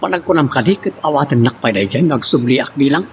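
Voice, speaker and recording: A man's voice: mid-range voice, expressive, brisk, natural voice. An actor playing a hurt, remorseful man pleading with his lover in an Ilocano melodrama. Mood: wistful. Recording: faint background noise.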